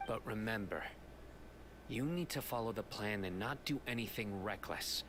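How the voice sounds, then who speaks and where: Low, stern lawman, acted by a man. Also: background noise, close to an everyday voice. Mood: earnest, firm. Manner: measured, serious, formal. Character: stern, principled desert law enforcer, adult man. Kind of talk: video game character acting.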